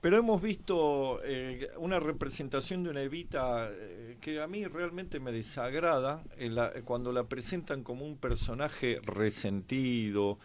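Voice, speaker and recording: A man with an Argentine accent giving conversational historical commentary as a panelist, reflective and halting, often pausing mid-thought. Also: medium pitch, a little hiss or hum. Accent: Argentine Spanish. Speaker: panelist on a history and politics programme.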